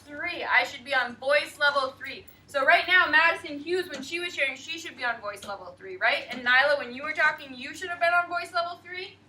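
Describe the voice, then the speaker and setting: A woman teacher leading a class of young children, slow and emphatic, asking the class questions. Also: high voice, pushed and bright. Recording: distant classroom mic, faint noise.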